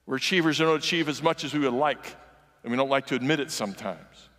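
A man's voice: mid-range voice, quick pace. Older man preaching in a reverberant sanctuary. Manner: earnest, conversational preaching with animated gestures, walking the stage. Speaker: guest preacher. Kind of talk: sermon. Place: large church sanctuary. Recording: long reverb of a large church hall.

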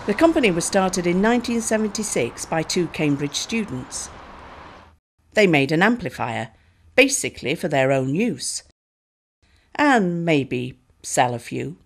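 A woman giving scripted documentary narration in a clear, measured way. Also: fairly low pitch, big pitch swings. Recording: voice-over with background music.

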